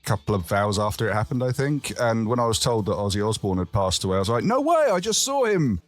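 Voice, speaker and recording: An English man co-hosting a podcast, cracking deadpan teasing jokes in casual chat. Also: fairly low pitch, quick pace, faint music behind. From England. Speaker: podcast co-host.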